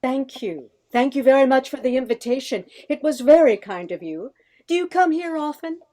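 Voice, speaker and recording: A woman's voice: high-pitched, expressive, mellow. A woman playing a headstrong, witty woman professor of nearly thirty in a radio comedy play, with dry retorts. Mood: polite, playful. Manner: dry, quick-witted retorts.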